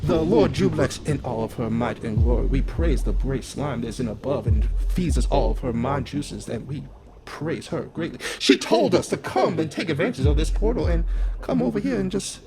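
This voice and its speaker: A man's voice: a little high, lively intonation, over music. A man voicing a smug, grizzled old wizard zealot in a tabletop game, pompous and mock-genteel. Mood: smug, devout. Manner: pompous, faux-genteel, drawn-out phrasing.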